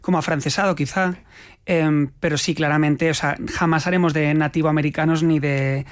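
A young man from Spain, an actor, chatting casually as an interview guest, quick and animated, laughing often and restarting his phrases. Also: fairly high pitch, no echo, clean studio sound.

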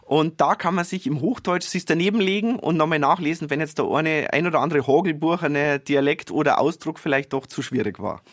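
Bavarian man with a fairly high voice. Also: a little fast, few pauses, no room sound, clean studio sound. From Bavaria, Germany. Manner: enthusiastic, explaining, frequent "äh" fillers. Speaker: author, interview guest. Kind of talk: radio interview.

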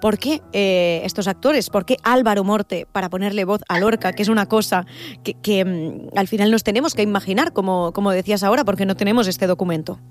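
A woman's voice: medium pitch, brisk. A woman with a Spanish accent hosting an interview in a conversational style. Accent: Spain. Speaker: interviewer, radio or podcast host. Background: music.

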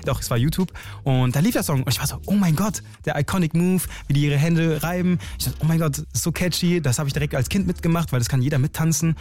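A man's voice: on the high side, fast, hardly any pauses, a little mellow. A man in his twenties speaking casually as an interview guest, using youthful slang and English words. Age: 20s. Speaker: interview guest, singer and dancer.

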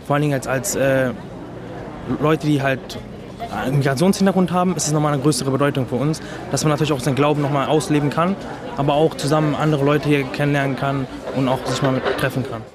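Twenties man, quick and chatty interviewee; loud crowd backdrop. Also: a little high, fairly even intonation. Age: 20s. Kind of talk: casual interview answer. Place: outdoors at a crowded temple festival. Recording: crowd noise behind.

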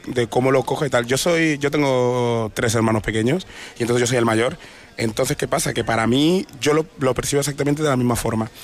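A man with an Andalusian accent, an actor chatting in a radio interview, joking and laughing with many restarts. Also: mid-range voice. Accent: Andalusian Spanish. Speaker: actor guest. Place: radio studio.

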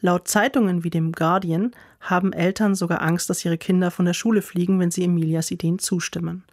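A woman's voice: lowish voice, fairly even intonation, fairly quick, darkish tone, dry recording, studio quality. Woman narrating a news report.

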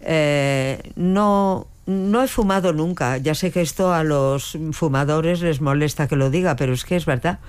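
A woman's voice: deep voice, measured pace, hardly any pauses, a little mellow, smooth. An older woman, a veteran dubbing actress with a strong voice, answering interview questions with frequent hesitations and restarts. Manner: hesitant, many fillers and restarts.

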